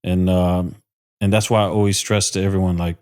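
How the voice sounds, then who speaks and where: A man's voice: deep voice, little pitch movement, a little fast, a little mellow. A man from South Florida, a podcast guest, talking earnestly and emotionally with many fillers and restarts. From South Florida, USA. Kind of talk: casual interview talk.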